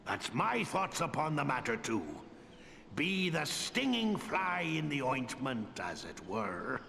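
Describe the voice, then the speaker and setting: An old dwarf lord from a fantasy video game, grave but hearty. The voice is gravelly and forceful, with rolling, emphatic, old-fashioned phrasing. It rings in a reverberant hall with faint noise behind it. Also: male voice, on the high side, big pitch swings, slow, exaggerated voice. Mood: wry, warm. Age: older.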